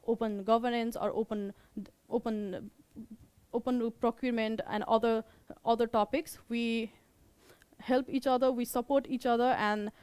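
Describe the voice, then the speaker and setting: A young woman with a Nepali accent gives an informal meetup presentation as an organizer, in a halting way, often repeating and rephrasing her words. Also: a little high, darkish tone, clean tone, no echo.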